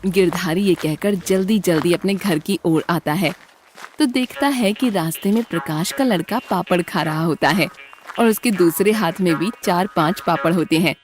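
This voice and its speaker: A woman's voice: on the low side, moderate pace, soft background music. A woman reading scripted story narration as a storyteller.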